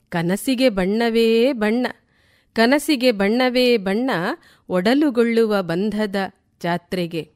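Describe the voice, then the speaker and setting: Slow, higher-voiced woman reciting poetry. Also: a little mellow. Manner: deliberate, repeats lines for emphasis. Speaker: poet reciting on a radio programme.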